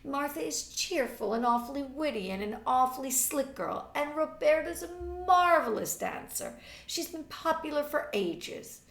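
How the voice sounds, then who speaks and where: A woman's voice: high voice, lively intonation, a little slow, vocal fry, hardly any pauses, fairly bright tone, pitched above the performer's own voice, exaggerated voice. Woman playing an exasperated, catty teenage girl, roomy sound. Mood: impatient. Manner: emphatic, scornful. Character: exasperated, catty eighteen-year-old society girl, impatient and dramatic. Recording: roomy, distant webcam microphone.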